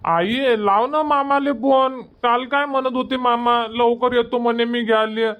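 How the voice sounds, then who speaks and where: A man's voice: extremely high pitch, fairly even intonation, unhurried, phone-quality sound. A man voicing a stubborn, pleading little village boy in a cartoon comedy, insistent, with a Varhadi Marathi accent.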